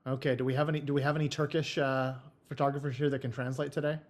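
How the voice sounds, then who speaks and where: A man's voice: mid-range voice, level tone, quick pace. A man co-hosting an online photography feedback session in casual chat, easygoing, laughing often and restarting his sentences, heard over a voice call. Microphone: voice chat on a computer.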